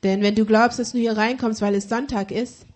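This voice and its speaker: A woman's voice: a little high, fairly even intonation, a little fast, darkish tone. A woman interpreting a sermon live, phrase by phrase, with frequent hesitations and self-corrections. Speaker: church interpreter.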